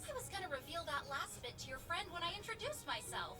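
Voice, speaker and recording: A woman's voice: high-pitched, big pitch swings, fast talker, distant mic. An actress playing a perky, teasing young woman concierge in an anime game, bubbly and slangy. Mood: breezy, cheeky. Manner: bubbly, casual slang, playful mock hurt. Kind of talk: anime video game dub.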